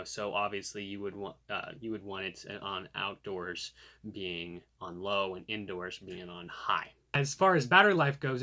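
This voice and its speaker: A man's voice: medium pitch, a bit bright. Casual man reviewing gear. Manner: informal, conversational explaining. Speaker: product reviewer. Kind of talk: casual hands-on product review.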